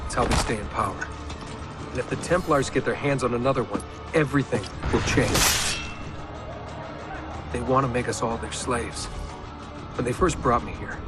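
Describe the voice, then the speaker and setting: A young American man, played by an actor, speaks as a weary, determined captive in a dramatic video game. His voice sits at an ordinary male pitch. Loud music runs under every line. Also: close to an everyday voice.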